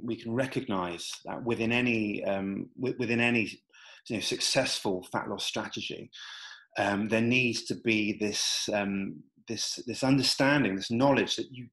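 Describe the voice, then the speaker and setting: A man, a nutrition coach talking casually over slides, relaxed with many fillers and restarts. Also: medium pitch, rough recording.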